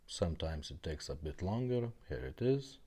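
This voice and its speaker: A man with a deep, mellow voice presents a casual hands-on demo. His intonation moves around a lot as he gives simple, step-by-step instructions. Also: very animated, moderate pace, husky. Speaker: product demonstrator.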